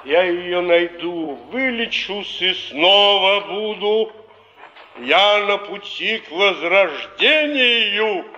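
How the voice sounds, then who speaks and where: A man's voice: high-pitched, lively intonation, extremely slow, pushed and bright, in a large hall, lo-fi sound, heavily acted. A male actor playing a broken-down, drunken provincial actor in a declamatory, wistful 1950s Soviet stage style. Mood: wistful, proud. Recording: old theatre stage recording.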